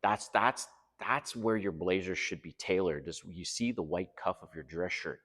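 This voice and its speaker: A man's voice: low voice, moderate pace, a bit bright, long reverb tail. An older man from Canada with a Canadian accent, giving blunt, frank dating advice straight to his viewers in a casual monologue.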